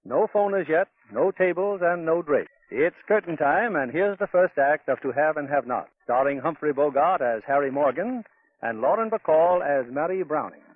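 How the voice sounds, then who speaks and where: Male vintage radio presenter, polished and formal, slightly high pitch, narrow old broadcast sound. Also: lively intonation, moderate pace. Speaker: radio producer and host. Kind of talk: 1940s radio scripted announcing and narration. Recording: husky, thin 1940s radio recording.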